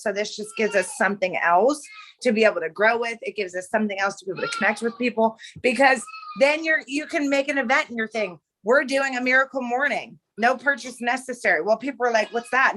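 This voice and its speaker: A woman hosting a live call, giving her team an emphatic and energetic pep talk. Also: fairly high pitch, a little fast, low-quality recording. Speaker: team leader, host.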